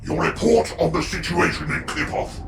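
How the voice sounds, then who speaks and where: Gravelly, imperious warlord sovereign. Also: male voice, on the high side, normal speed. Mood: commanding. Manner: commanding, imperious, forceful. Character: proud, obsessed male warlord sovereign of a dark legion. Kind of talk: dramatic video game acting. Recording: roomy echo, distant, faint noise.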